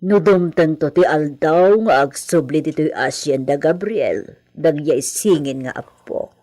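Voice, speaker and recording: A woman playing a stern, worried elderly mother of a hacienda family in an Ilocano radio drama, speaking deliberately with dramatic pauses. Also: low-pitched, mellow. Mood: worried, insistent.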